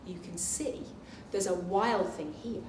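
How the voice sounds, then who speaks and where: A woman reading a children's picture book aloud at story time as a librarian, animated and expressive, pausing for asides and questions to young listeners. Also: lowish voice. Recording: echoey room, distant mic, faint noise.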